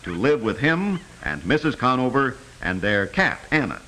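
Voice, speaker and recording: A man delivers earnest vintage educational film narration in a bright, forward voice. His pitch swings expressively as he tells things simply for children. The old 1950s soundtrack carries some noise. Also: very animated, normal speed, poor audio.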